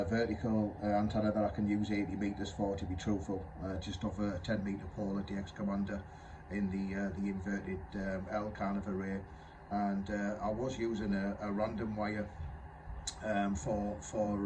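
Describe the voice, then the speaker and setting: A man in his fifties chatting casually over amateur radio, explaining things in a halting way. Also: fairly low pitch, noisy background. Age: 50s. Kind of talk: casual radio contact chat.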